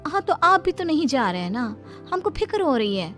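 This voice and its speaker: Dutiful young bride voiced by a narrator for an audio story: female, anxious, pleading, very high pitch, light music behind. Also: very animated, normal speed, a bit bright. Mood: insistent. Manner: pleading, earnest. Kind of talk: Hindi audio story acting.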